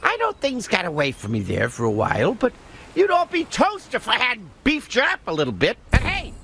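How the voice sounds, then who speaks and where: A man's voice: high voice, wildly swooping pitch, moderate pace, sharp tone, slight background noise, exaggerated voice. A man voicing a smug, mischievous little imp from another dimension in a cartoon video game, gleefully taunting and theatrical. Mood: smug, teasing.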